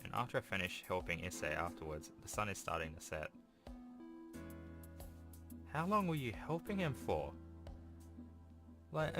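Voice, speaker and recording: A man reading a visual novel aloud with casual, rambling, dry asides as a gaming host. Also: fairly low pitch. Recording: music behind the voice. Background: game music.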